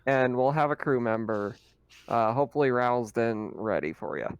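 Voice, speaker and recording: A man's voice: medium pitch, expressive, moderate pace, clear, resonant tone, muffled recording. A man playing a tabletop roleplaying game, switching casually between table talk and speaking as his character.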